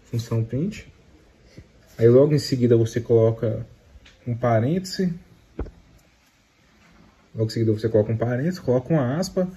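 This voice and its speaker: A young man with a Brazilian accent talks through basic code in a loose, chatty way, tacking little check-ins onto his sentences. His voice is deep-toned and very mellow, sitting at an ordinary pitch for a man. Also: moderate pace, pauses often, breathy edge. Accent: Brazilian Portuguese. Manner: repeats filler tags like "tá?" and "né?"; slangy, excitable outro. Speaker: beginner coding vlogger. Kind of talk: casual step-by-step tutorial.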